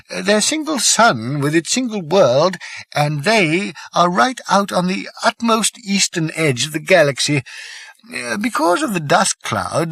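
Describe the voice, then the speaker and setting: A man performs an elderly alien scholar in an audiobook. The voice is high and swooping, with a grave, hesitant, explanatory manner. It sounds close and dry, mildly apologetic as it lectures. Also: gravelly, fairly bright tone, dry recording, heavily acted. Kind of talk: audiobook character voice.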